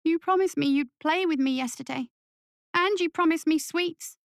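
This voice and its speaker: Woman as a high-pitched sulky little boy. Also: moderate pace, creaky, no echo, studio quality. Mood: hurt, accusing. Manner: petulant, accusing. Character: small hurt boy, sulky and accusing.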